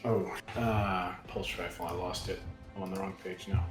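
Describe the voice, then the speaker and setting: A man with a fairly low voice banters casually as a tabletop game player. The room is echoey and music plays clearly behind him. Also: fairly even intonation, moderate pace.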